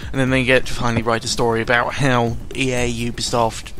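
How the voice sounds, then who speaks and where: A young man giving casual, unscripted commentary in a rambling, halting way, often interrupting himself, with a sarcastic, self-deprecating and exasperated manner. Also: mid-range voice, completely flat delivery, a bit bright. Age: young adult. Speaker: YouTuber recording an audio log. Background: background music.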